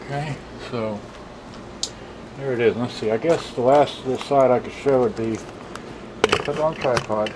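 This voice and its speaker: A man's voice: mid-range voice, normal speed, long pauses, very noisy. A man muttering in short fragments through a casual, off-the-cuff demonstration, recorded on a camcorder's own microphone. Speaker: vlogger showing vintage electronics. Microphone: handheld camcorder's built-in microphone.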